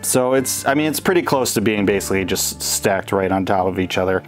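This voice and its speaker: A young man with a fairly low voice talks loosely and off the cuff, trailing into fillers and restarts with the odd little laugh. A faint music bed plays softly beneath him. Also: fairly quick. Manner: relaxed and rambling, many fillers and restarts, small chuckles. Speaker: LEGO builder showing his model stadium. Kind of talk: casual off-the-cuff build update. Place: indoor room with the model, wood-paneled walls.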